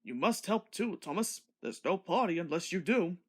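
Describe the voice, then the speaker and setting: A kindly but commanding older gentleman in charge of a storybook railway, put on by a woman. He is hearty and a little pompous, with very varied intonation. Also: on the low side, lively intonation, moderate pace, slightly rough. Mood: grave. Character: kindly, authoritative older man, railway boss.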